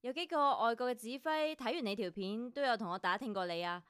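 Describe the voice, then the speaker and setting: A woman acting as a savvy female radio director in a Cantonese drama, brisk and self-assured, with a Hong Kong accent. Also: fairly high pitch, a bit bright, clear, resonant tone, no echo, clean studio sound, close to an everyday voice. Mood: impressed, persuasive.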